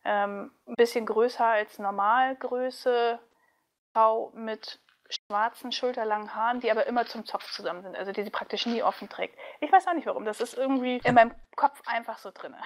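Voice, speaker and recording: A woman's voice: on the high side, moderate pace. A woman who works as a voice actress, chatting casually and thoughtfully as an interview guest, with a giggly streak.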